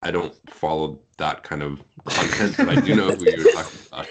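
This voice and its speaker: Male podcast guest, low-pitched, relaxed conversational phrasing, anecdotal delivery. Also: normal speed. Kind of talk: casual chat, telling anecdotes.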